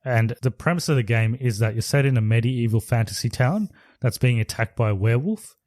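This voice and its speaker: A man's voice: medium pitch, animated, brisk, a little mellow, dry recording, clean studio sound. A man co-hosting a podcast, casually retelling a movie plot in a loose, rambling way with restarts. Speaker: podcast co-host. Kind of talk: casual chat reviewing movies.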